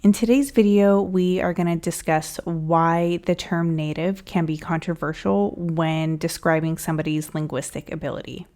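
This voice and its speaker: A woman's voice: on the low side, steady tone, normal speed, few pauses, darkish tone. A woman hosting an English lesson, talking thoughtfully to camera and asking many rhetorical questions. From United States. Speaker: English teacher and channel host.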